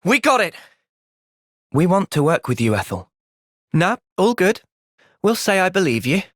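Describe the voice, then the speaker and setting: A man with an English accent playing an earnest, thoughtful young soldier hero in a video game, speaking sincerely and with measured delivery. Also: high-pitched, big pitch swings, a bit bright, dry recording, clean studio sound, full character voice. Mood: urgent, shouting. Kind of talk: video game cutscene voice acting.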